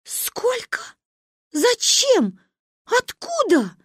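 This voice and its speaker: A woman voicing an anxious, excitable mother in an audiobook story. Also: very high voice, very slow, no echo, clean studio sound, voice pushed up, heavily acted. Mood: frightened. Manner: excitable, exclamatory. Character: anxious mother shouting into the phone.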